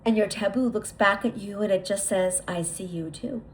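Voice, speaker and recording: A woman leading a guided visualization, speaking to the listener in a deliberate, repetitive way with lingering pauses. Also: mid-range voice, slight background noise, low-quality recording. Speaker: guided meditation leader.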